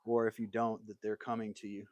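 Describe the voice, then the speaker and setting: A man's voice: fairly low pitch, completely flat delivery, brisk, darkish tone, no room sound. A man hosting a casual woodworking livestream from his workshop, talking in an easygoing, rambling way and answering viewer comments. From United States.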